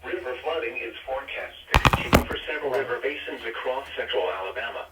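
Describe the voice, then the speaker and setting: A man's voice: medium pitch, fast talker, reverberant, distant mic. A man reading a scripted weather bulletin in a formal, impersonal way, heard through a radio speaker. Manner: formal, impersonal recited delivery. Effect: radio broadcast through a small speaker. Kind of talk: scripted weather broadcast announcement. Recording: noisy radio speaker picked up from afar.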